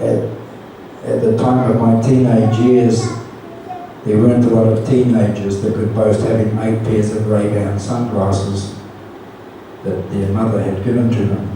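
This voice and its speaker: A man with a New Zealand accent gives an informal talk as a Buddhist monk, hesitant and gently self-deprecating, with light humour. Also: lowish voice. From Auckland, New Zealand. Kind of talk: informal Dhamma talk to an audience. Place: community centre hall. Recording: distant mic, very echoey room, noisy.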